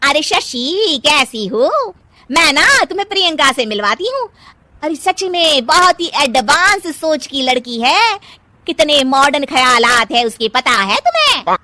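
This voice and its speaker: A woman voicing a boastful, bossy middle-aged mother-in-law in a cartoon family drama, loud and exclaiming. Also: sits high, sing-song, unhurried, dry recording, heavily acted. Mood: excited. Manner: loud, scolding, gushing exclamations.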